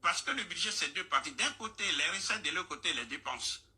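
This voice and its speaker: Bright, lively man lecturing insistently. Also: fairly high pitch, a little fast. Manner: insistent, lecturing, repeats points. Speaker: guest speaker on a radio discussion. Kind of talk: explanatory radio discussion.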